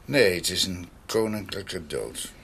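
An older man playing a world-weary, wry ageing doctor in a radio drama, speaking haltingly and repeating himself. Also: sits low, expressive, creaky, a bit bright, a little hiss or hum, full character voice. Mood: wry, musing. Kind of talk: Dutch radio drama acting.

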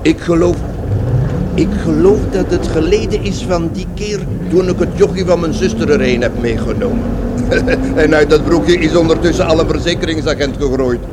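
A man's voice: on the high side, normal speed, lightly acted. An actor playing a folksy, eager-to-please bandsman in a radio play, garrulous and familiar in his colloquial talk. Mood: chatty. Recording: noisy vehicle ambience behind voice.

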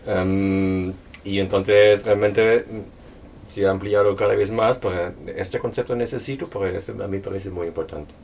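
A non-native Spanish speaker presenting their book at a public talk, speaking hesitantly and thoughtfully with many pauses and fillers. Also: sits low, fairly even intonation, a little mellow, far from the mic, slight background noise. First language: not Spanish. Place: local venue at a public presentation.

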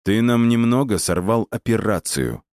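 A man's voice: lowish voice, lively intonation, moderate pace, a little mellow, no room sound, studio quality. A man voicing a stern male operative in an audiobook, lecturing with pointed questions and curt orders. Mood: stern, reproachful.

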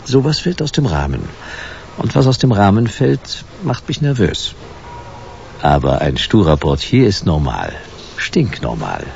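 A hard-boiled private detective in a slightly dull-sounding radio drama. He is laconic and sardonic, a wry, deadpan noir figure who does not let go. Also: low voice, unhurried, creaky, slight background noise, full character voice. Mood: wry, dry.

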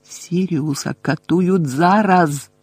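A man voicing an angry, impatient teenage boy wizard in an audiobook, snappish and loud. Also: fairly high pitch, normal speed, slightly rough, dry recording. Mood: frantic, impatient. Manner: shouting, snappish.

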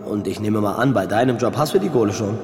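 A man's voice: lowish voice, fast talker, a little mellow, natural voice. An actor playing a cheeky, sceptical young man who negotiates in a teasing, probing way in a radio drama. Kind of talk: German radio drama acting. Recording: echoey hall with long reverb.

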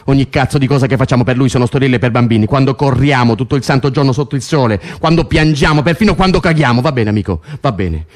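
An actor playing an earnest, idealistic political prisoner in a theatrical radio drama, persuading insistently and urgently with repeated phrases. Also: male voice, mid-range voice, animated, fast, few pauses, a little mellow, natural voice. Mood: bitter, insistent.

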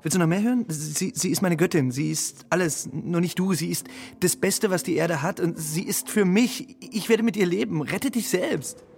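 An actor in a radio drama playing a defiant, disgusted young man in love, blunt, agitated and creaky. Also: high voice, moderate pace, a little mellow, slight background noise. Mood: defiant, passionate. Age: young adult. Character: defiant young lover, disgusted and passionate rich son.

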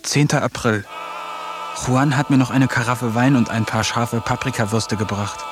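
A man's voice: medium pitch, steady tone, moderate pace, over music, close to an everyday voice. An actor narrating his diary in a radio drama as its lonely protagonist, in a wry, melancholic way.

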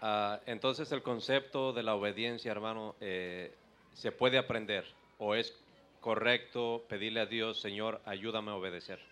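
A man from the congregation putting a respectful question to a preacher in a hesitant, halting way. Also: lowish voice, steady tone, fairly bright tone, a little hiss or hum.

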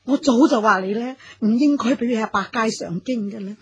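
A woman's voice: fairly high pitch, fairly quick, slightly rough, muffled recording. An actress playing an elderly, worried mother-in-law in a Cantonese radio drama, nagging and sighing plaintively. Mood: reproachful.

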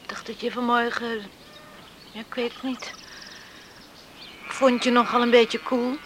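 A woman's voice: fairly high pitch, flat delivery, unhurried, close to an everyday voice. A woman playing an earnest, sensitive young village woman in a Dutch radio drama, with a girlish, hesitant manner. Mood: tender, worried. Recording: hissy radio drama recording.

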